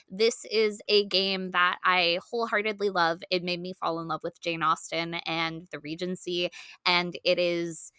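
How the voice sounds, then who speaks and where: Bright, clear-voiced woman on a podcast. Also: mid-range voice, no echo, studio quality. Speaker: podcast panelist. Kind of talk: casual chat.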